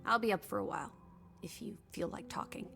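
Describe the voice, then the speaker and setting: A woman's voice: medium pitch, a little fast, vocal fry, loud music behind the voice. An actress playing a bold, impatient young female companion in a video game, blunt and wry, coaxing and teasing. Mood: sympathetic, wry. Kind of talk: naturalistic video game voice acting.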